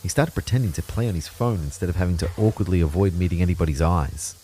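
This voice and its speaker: A man's voice: low-pitched, fast talker, mellow, a little hiss or hum, lightly acted. A man playing an anxious, introspective man who narrates his own story in a reflective, wry way for an audio drama. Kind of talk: first-person scripted audio drama narration.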